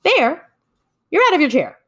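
A woman voicing a friendly, speedy male hare in a storybook, brisk and exclaiming. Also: sits high, very animated, fairly bright tone, voice pushed up. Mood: startled, hurried.

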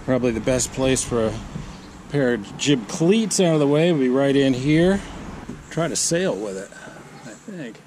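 A man's voice: medium pitch, animated, moderate pace, a little mellow, slight background noise. A man in his fifties building and rigging a small sailboat, thinking aloud in short, musing phrases as he works. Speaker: boat builder. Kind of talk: talking to himself while working. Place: outdoors in a driveway and on a boat on a lake. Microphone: action camera on the boat.

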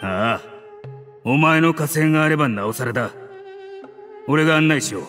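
A man voicing a loyal, gruff mercenary squad leader in a game, speaking in a commanding tone. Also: on the high side, lively intonation, normal speed, fairly bright tone, soft background music. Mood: steady. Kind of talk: Japanese game voice acting.

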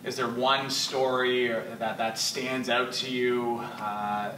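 Church host in his thirties, mid-pitch, echoey room, far mic with hiss. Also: level tone, slow pace, sharp tone. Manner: friendly, laughs often, restarts questions. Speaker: church interviewer. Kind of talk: casual interview. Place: church lounge with sofas, indoors. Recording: roomy echo, distant mic, clear hiss.

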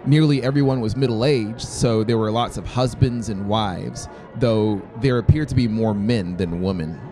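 Male narrator, thirties, for fiction audiobook: dark, mellow, low voice over faint music. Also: moderate pace. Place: small brick-walled room, indoors. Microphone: close dynamic mic on boom stand.

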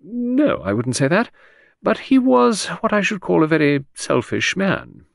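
Male narrator as young female secretary, raised pitch, crisp, precise, brusque, cool disdain, audiobook. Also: wildly swooping pitch, a little slow, no room sound, studio quality, heavily acted. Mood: cool contempt. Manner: crisp, precise, prompt, scornful. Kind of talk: audiobook character reading.

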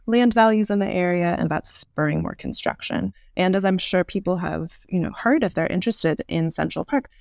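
A woman's voice: fairly low pitch, gravelly, no echo, clean studio sound. A woman giving thoughtful, articulate explanations as an interview guest, weighing her points with care. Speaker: podcast guest, urban design expert.

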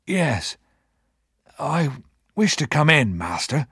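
A male reader plays a rough old convict in his sixties from a storybook. His voice is husky and worn, and he takes his words slowly, with humble, weary fondness. The sound is close and dry. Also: medium pitch, lively intonation, no echo. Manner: slow, quiet, deliberate.

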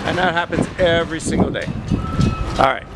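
A man's voice: a little high, steady tone, a little fast, very noisy. An older man guiding a tour of his workshop, explaining each step in a plain, matter-of-fact way. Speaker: shop manager explaining work. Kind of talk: explanatory tour guide talk. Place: indoor workshop and warehouse.

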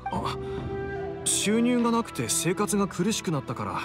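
A man's voice: sits high, big pitch swings, moderate pace, over loud music. A man playing an easygoing young adventurer in an anime game, talking in a casual, reflective, slightly hesitant way. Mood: thoughtful. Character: easygoing young male adventurer, thoughtful townsman.

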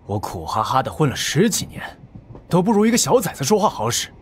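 A man's voice: a little high, big pitch swings, fairly quick, slight background noise. A man playing a scheming, resentful gang fixer who fawns on his betters in an audiobook drama. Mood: bitter. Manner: obsequious on the phone, bitter in private.

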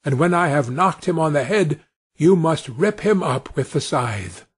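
A man's voice: on the high side, lively intonation, measured pace, slightly rough, exaggerated voice. A man doing an earnest, loving woodman father. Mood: stern.

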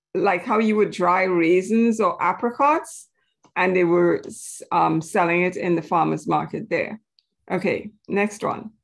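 A woman's voice: lowish voice, vocal fry. A woman from Trinidad and Tobago, giving a casual, chatty presentation to a group. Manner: chatty, informal asides to the audience.

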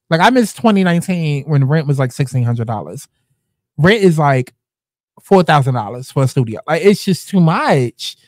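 A young woman, reacting to clips in loud, brash, joking casual commentary, swearing often. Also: low voice, dry recording, clean studio sound.

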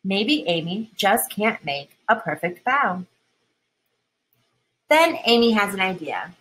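A woman reading a children's picture book aloud in an expressive, deliberate way, leaning on key words. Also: mid-range voice, slightly rough, poor audio. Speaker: storybook reader.

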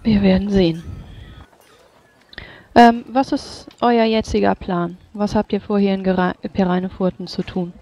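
A game master narrating and speaking in a formal, measured way as a stern official in a tabletop role-playing game. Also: mid-range voice, fairly even intonation, warm tone. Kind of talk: tabletop role-play narration and in-character dialogue.

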